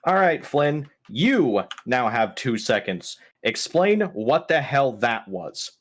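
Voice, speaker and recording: A man's voice: medium pitch, big pitch swings, takes it slowly, voice pushed up. A man playing a stern ship's captain who fires clipped, demanding questions in a tabletop game. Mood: demanding, incredulous. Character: stern ship's captain interrogating his crew at gunpoint.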